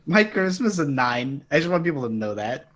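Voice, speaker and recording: A man's voice: on the high side, sing-song, fairly quick, a bit bright. A man chatting casually as a player in a tabletop role-playing game.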